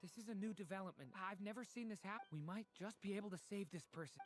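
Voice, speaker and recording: A male actor plays a protective young video game hero. He speaks fast in a high voice, earnest and careful, with hesitant starts. There is clear noise behind him. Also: close to an everyday voice. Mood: concerned, focused.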